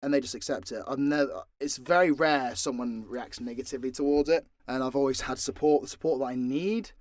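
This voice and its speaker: A man's voice: mid-range voice, brisk, a little mellow, no echo. A British man giving a frank, sweary, rambling and informal Q&A chat, close on the mic. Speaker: audio role-play creator and voice actor.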